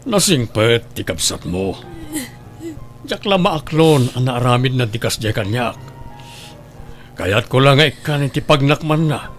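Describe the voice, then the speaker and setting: Guy doing forgiving boss man, kind n measured, ilocano radio drama, voice moves up and down a lot, soft music. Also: mid-range voice, lively intonation, close to an everyday voice. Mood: thoughtful. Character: forgiving male employer, kindly and measured.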